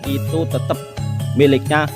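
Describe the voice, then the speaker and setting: A man's voice: on the high side, level tone, a little slow, over music. A man, earnestly reassuring his listeners and repeating his points in a casual explanation.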